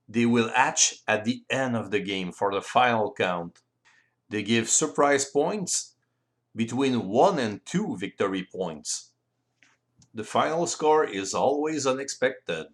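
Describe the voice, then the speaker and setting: Slow, lilting Frenchman explaining a game. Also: medium pitch, fairly bright tone. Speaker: board game rules presenter. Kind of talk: explanatory walkthrough of game play.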